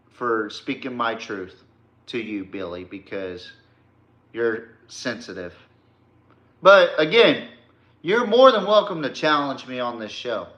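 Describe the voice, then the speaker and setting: A man's voice: mid-range voice, sharp tone. A man hosting a sports podcast, delivering a blunt, confrontational rant in slangy, informal speech. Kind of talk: combative sports rant addressed to a rival. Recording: echoey room.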